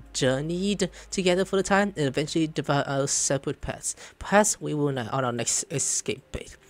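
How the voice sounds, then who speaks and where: A man streaming a video game, commenting casually and reading dialogue aloud. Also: on the high side. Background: game music.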